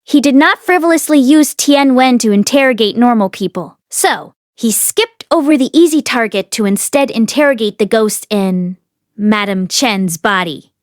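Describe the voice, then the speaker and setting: A woman's voice: fairly high pitch, moderate pace, no echo. A woman narrator reading fiction aloud expressively as an audiobook host, close to the microphone.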